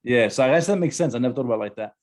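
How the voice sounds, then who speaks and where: A man hosting a podcast, talking relaxed and hesitant, with plenty of ums. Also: medium pitch, rapid-fire. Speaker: podcast host. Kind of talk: casual podcast chat.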